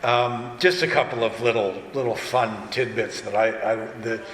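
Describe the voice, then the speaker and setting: A man talking casually as a director and actor on a convention panel, chatty and halting, often restarting his thoughts. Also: medium pitch, steady tone, fairly bright tone. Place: large convention panel room. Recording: echoey hall, distant, noisy room. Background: room ambience and noise.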